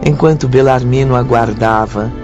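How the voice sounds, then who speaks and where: A man's voice: medium pitch, moderate pace. A Brazilian man narrating a radio drama from a script. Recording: slightly muffled audio with music. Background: background music.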